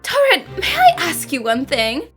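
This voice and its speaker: A woman's voice: high voice, wildly swooping pitch, moderate pace, fairly bright tone, faint music behind, full character voice. A woman voicing a self-assured, composed young detective in an anime dub, speaking in an articulate, earnest way. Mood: earnest, probing.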